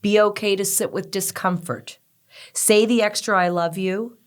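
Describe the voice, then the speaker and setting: A woman hosting an interview podcast, enthusiastic and encouraging, quick to praise her guest. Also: mid-range voice, steady tone, clean tone. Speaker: podcast host.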